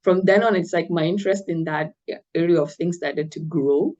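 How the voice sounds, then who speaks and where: A middle-aged woman from Nigeria, a guest talking about her life in an interview, speaking in a thoughtful, measured way with many fillers. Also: fairly low pitch, warm tone, highs cut off. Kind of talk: interview conversation.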